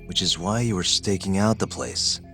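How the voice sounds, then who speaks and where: An actor playing a terse, skeptical private detective with a curt, questioning manner, in video game dialogue. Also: male voice, low-pitched, steady tone, moderate pace, music in the background, natural voice. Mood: probing.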